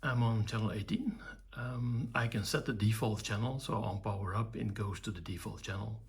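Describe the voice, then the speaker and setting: An older man with a low, very husky voice presents a hobby electronics project. His delivery is informal and halting, moving in short, measured phrases with a gravelly creak. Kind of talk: informal technical demonstration.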